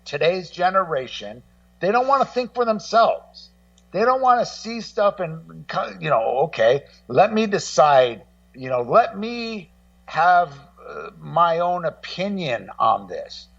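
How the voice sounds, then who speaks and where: A man in casual radio conversation, rambling and halting as he repeats words and acts out what other people say. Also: sits high, big pitch swings, vocal fry, a bit bright, no echo. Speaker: guest co-host and music documentary maker. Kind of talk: casual radio talk, telling anecdotes. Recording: muffled, slightly distant voice feed.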